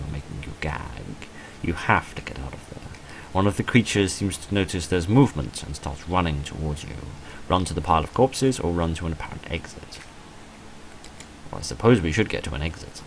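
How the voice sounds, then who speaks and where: A deep-voiced man narrates a visual novel playthrough. He reads the text like a dramatic story and drops in dry, offhand commentary. There is some faint noise behind his voice. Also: animated, a little fast, poor audio. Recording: noticeable background noise.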